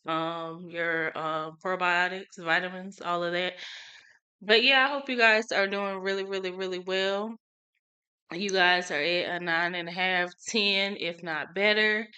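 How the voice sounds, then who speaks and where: A young woman hosting a casual solo podcast, chatty and relaxed with many fillers, a little stuffy from a cold. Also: lowish voice, a little slow, clear, resonant tone. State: congested from a cold. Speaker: podcast host.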